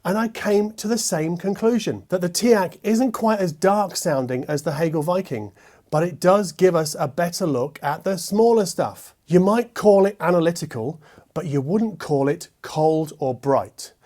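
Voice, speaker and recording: A man hosting a scripted hi-fi product review, speaking in a measured way with wry asides. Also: high voice, dark tone. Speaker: host and hi-fi reviewer.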